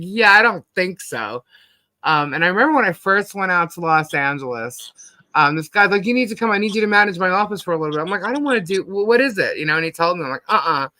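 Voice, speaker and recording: American woman, middle-aged, husky, forward and bright tone, slangy, blunt, rambling storyteller, dry close sound. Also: dry recording. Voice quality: husky, deep voice. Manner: blunt, slangy, animatedly quotes herself and others. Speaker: host of a live panel stream. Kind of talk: casual chat, rambling anecdotes.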